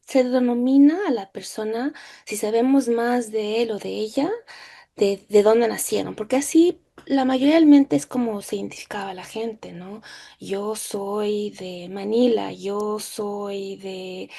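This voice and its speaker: A woman's voice: mid-range voice, vocal fry, mellow. A woman with a Mexican accent speaking as a historian in an academic panel, reflective and hesitant, often seeking agreement.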